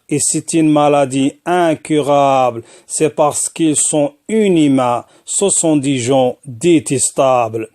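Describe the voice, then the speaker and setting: Slow-paced man reciting rhymed spoken word. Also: fairly high pitch, a little mellow. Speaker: poet, former prisoner.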